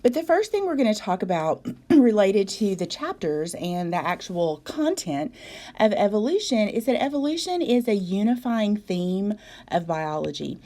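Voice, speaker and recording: A woman from the South, a biology instructor giving a recorded video lecture in a voice hoarse from a cold, measured and explanatory with frequent ums. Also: mid-range voice, sing-song, mellow. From American South.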